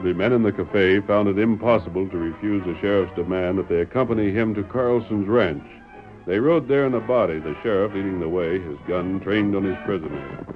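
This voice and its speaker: Deep-voiced radio narrator, music behind. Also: male voice, fairly quick. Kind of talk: old-time radio scripted narration. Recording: muffled old radio broadcast over music.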